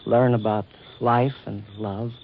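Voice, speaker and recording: An actor playing a courtly, earnest government official who woos in stiff, hesitant, formal speech, in 1940s radio drama style. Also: male voice, fairly low pitch, little pitch movement, slow. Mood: earnest, wooing. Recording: muffled, noisy 1940s radio recording.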